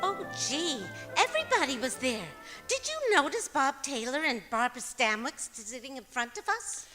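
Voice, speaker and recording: A bright, very high voice swoops through every line in a reverberant hall. A woman acts out a cheerful, scatterbrained wife from old-time radio comedy, chattering away innocently. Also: very animated, normal speed, faint music behind, full character voice. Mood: excited, gossipy. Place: performing arts center auditorium, live stage. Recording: stage microphone in echoing auditorium.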